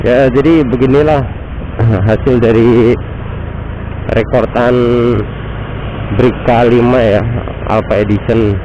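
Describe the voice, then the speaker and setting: A man's voice: medium pitch, highs cut off. A man with a stuffy, nasal voice from a cold, chatting casually as he vlogs while riding a motorbike through city traffic. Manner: relaxed, chatty, unhurried. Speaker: motovlogger. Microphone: action camera mic.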